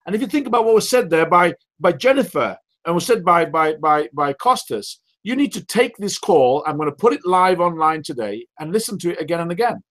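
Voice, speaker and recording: A man hosting a sales team call who talks loudly, with emphatic, repetitive statements and rhetorical questions. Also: a little high, expressive, a little fast, hardly any pauses. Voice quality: loud voice. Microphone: call audio.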